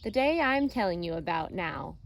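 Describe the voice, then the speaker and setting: A woman teacher reading a picture book aloud to children in a deliberate, expressive way. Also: fairly low pitch, vocal fry. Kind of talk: storybook read-aloud narration for children. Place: outdoors.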